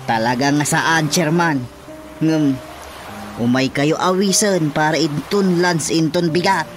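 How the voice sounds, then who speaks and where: A man's voice: on the high side, steady tone, unhurried, faint music behind, close to an everyday voice. A man playing a deferential, coaxing village underling in an Ilocano-accented radio comedy drama.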